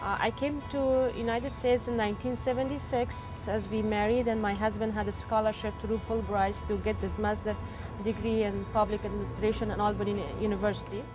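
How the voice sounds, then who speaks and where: A woman in her fifties from Afghanistan, telling her story in an interview in a halting, emphatic way with many restarts. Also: mid-range voice, little pitch movement, over music, low-quality recording. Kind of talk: personal testimony in an interview.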